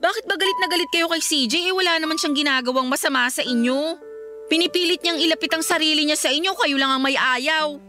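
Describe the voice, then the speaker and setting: Woman doing a young filipino daughter in radio drama, high voice, pleading, polite but stubborn. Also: fast, over music, close to an everyday voice. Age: young adult. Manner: pleading, polite yet defiant. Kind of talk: Tagalog radio drama acting.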